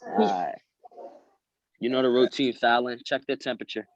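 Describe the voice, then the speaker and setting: A man's voice: mid-range voice, steady tone, normal speed, no echo. A man at a table read over a video call, reading script lines and coaching others between them. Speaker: script reader and prompter. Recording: dry, slightly dull call audio.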